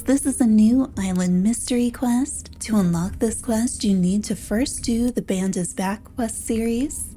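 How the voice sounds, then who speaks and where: A woman with a fairly high, very soft-edged mellow voice narrates a scripted game walkthrough. She guides the listener step by step as the host, with faint noise behind her voice.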